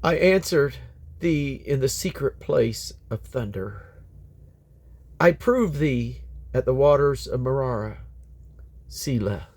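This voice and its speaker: A man's voice: mid-range voice, expressive, takes it slowly, long pauses, a little mellow. A man reading Bible scripture aloud in a solemn, declamatory manner, letting his phrases fall away at the end. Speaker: Bible reader.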